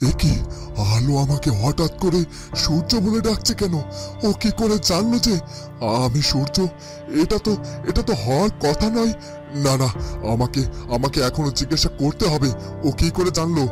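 Man acting high-pitched brooding fairytale hero. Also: expressive, normal speed, long pauses, natural voice. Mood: startled. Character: brooding, honourable young fairytale lover hero. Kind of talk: melodramatic Bengali cartoon voice acting. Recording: music behind the voice.

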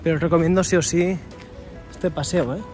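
A man's voice: on the high side, steady tone, a little fast, a little mellow. A man with a Spain accent giving casual, chatty travel narration to camera while walking outdoors. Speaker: travel vlogger. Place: mostly outdoors in city streets and parks. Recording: handheld outdoor vlog with music behind.

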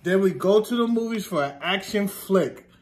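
A man in his thirties, a teacher reading a children's picture book aloud in a deliberate sing-song way, stressing repeated words. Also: high-pitched, animated, darkish tone. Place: classroom, indoors.

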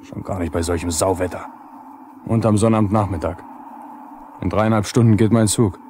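A grumbling young male technician played by an actor with a fairly low voice. He is defiant and colloquial, in a 1970s radio play with faint noise. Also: normal speed, lightly acted. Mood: sullen, defiant. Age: young adult. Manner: colloquial, disgruntled.

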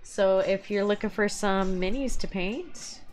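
A woman's voice: mid-range voice, unhurried, darkish tone. A woman in her thirties co-hosting a live painting stream, chatting casually and laughing often between remarks. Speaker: livestream co-host and miniature painter. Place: home hobby room, indoors.

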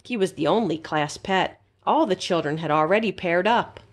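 A woman reading a children's story aloud as a library storyteller, in an expressive, simple storytelling manner. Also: on the low side, normal speed.

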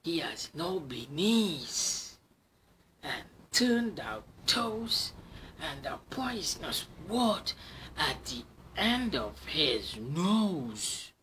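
Man as a clever little storybook mouse, animated. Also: fairly high pitch, very slow, a little hiss or hum, heavily acted. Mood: menacing bluff. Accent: Trinidadian. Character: small, clever, polite, bluffing mouse.

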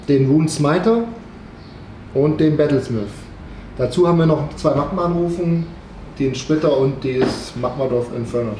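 A man in his thirties co-hosting, welcoming viewers and briskly reading out an army list. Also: on the high side, dark tone. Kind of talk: casual chat and listing game units. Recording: echoey room with faint hiss.

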